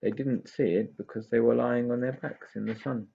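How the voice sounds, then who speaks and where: A man with a gravelly, husky voice reading a children's story expressively as a storyteller. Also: mid-range voice, fairly even intonation, moderate pace, mellow, highs cut off.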